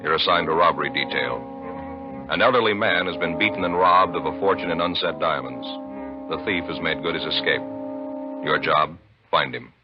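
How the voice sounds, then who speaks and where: A man's voice: extremely low pitch, moderate pace. A man announcing on 1950s radio, reading scripted copy with emphatic, punchy phrasing. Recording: dull 1950s radio recording, music.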